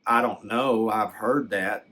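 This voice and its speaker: Older guy just telling his story, kinda deep voice, real slow, stops and restarts while he thinks. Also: fairly even intonation, a bit bright, a little hiss or hum. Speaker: storyteller recounting a personal experience. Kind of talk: casual, unscripted personal storytelling.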